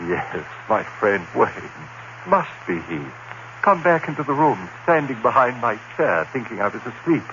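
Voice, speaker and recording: A man playing a terrified condemned prisoner recounting his nightmare in halting, anguished phrases, in the style of 1940s radio horror. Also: medium pitch, bright, forceful tone, muffled recording. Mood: anxious bewilderment. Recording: noisy, band-limited old radio recording.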